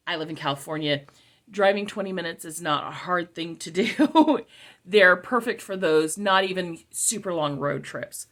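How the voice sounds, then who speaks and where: A woman's voice: medium pitch, a bit bright. A middle-aged woman giving a casual, conversational product review, repeating key phrases for emphasis.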